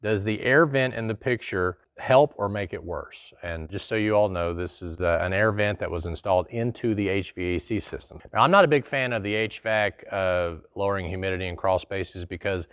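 Host type: middle-aged man, lower voice, dry studio sound, relaxed explainer. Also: normal speed, few pauses, smooth, no echo. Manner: conversational, folksy, answers a viewer question. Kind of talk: casual explanatory talk to camera. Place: small studio in front of a plain wall.

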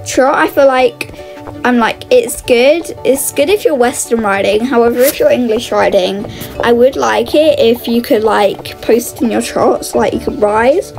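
A woman's voice: fairly high pitch, measured pace, creaky, a little mellow, rough recording. A woman giving casual, chatty gameplay commentary, exclaiming and thinking aloud as she plays. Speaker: gaming video host. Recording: music under the voice.

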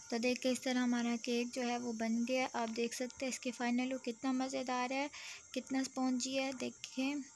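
Level-toned woman narrating a home recipe. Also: a little high. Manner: steady, explanatory, addresses viewers directly. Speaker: cooking channel host. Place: home kitchen.

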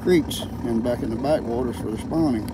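Man in his 60s, Southern US accent, mid-pitched voice, folksy outdoor vlog talk, loud background motor noise. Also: a little fast. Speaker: fishing vlogger. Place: open fishing boat on a lake, partly in a vehicle.

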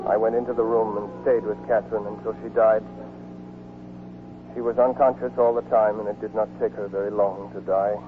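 A man's voice: lowish voice, level tone, fairly quick, over music, lightly acted. An actor playing a grieving, devoted man telling of his lover's death in a 1940s radio drama. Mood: numb grief. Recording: tinny, muffled 1940s radio broadcast.

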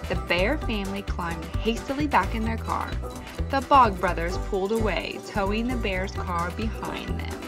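A woman's voice: medium pitch, normal speed. A woman narrating a children's picture book aloud, with music behind her. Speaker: storybook reader.

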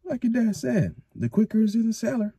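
A man voicing an eager, cheeky young male villager in a storybook reading, lifting his voice high. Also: sing-song, brisk, vocal fry, dark tone, slightly rough, no room sound, full character voice. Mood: resigned. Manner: eager, aggrieved.

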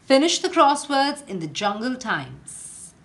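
Very slow, lively storytelling woman. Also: sits high. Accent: Indian English. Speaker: teacher reading aloud. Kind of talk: picture book read-aloud.

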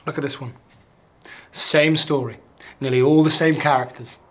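A man's voice: medium pitch, steady tone, moderate pace, a bit bright, close to an everyday voice. A young man playing a blunt, complaining script office worker in a comedy soap. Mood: accusing. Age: young adult.

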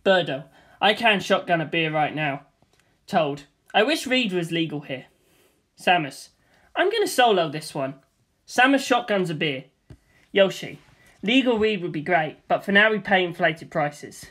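Man reading a script deadpan. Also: sits high, measured pace. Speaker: reader of a script.

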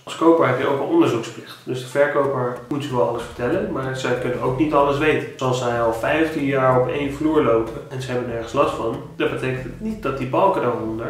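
A man in his thirties with a Dutch accent, giving home-buying tips in a casual, animated vlog with many restarts. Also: medium pitch. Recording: very echoey room, a bit distant.

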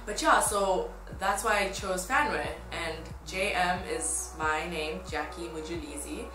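A woman in her twenties from Tanzania talks casually and brightly in mood, as a blogger addressing followers. Her voice sits a little low for a woman. The room adds a clear echo, and music plays behind her. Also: a little slow. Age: 20s. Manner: upbeat, informal. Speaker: fashion blogger. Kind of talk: casual chat to camera.

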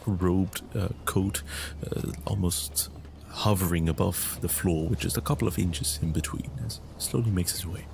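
Deep, creaky-voiced man narrating his character. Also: steady tone, moderate pace, darkish tone, slight background noise. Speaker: tabletop game player. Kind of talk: descriptive improvised character narration.

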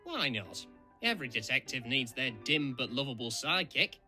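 Man as a vain, sharp-voiced cartoon steam engine. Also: mid-range voice, big pitch swings, moderate pace, soft background music. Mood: self-assured. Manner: pompous, huffy, self-assured. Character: vain, boastful male red steam engine playing detective. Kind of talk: fan comic dub voice acting.

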